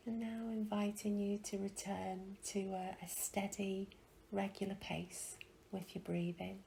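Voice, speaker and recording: A woman guiding a meditation in a soft, breathy, soothing way, leaving long pauses between phrases. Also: medium pitch, little pitch movement, a little hiss or hum, poor audio. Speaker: meditation guide. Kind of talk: guided meditation.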